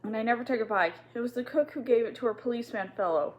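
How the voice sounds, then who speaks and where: A woman's voice: a little high, very fast, lo-fi sound, pitched above the performer's own voice, close to an everyday voice. Woman voicing a sobbing young servant girl. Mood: sobbing denial. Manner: sobbing, pleading. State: crying.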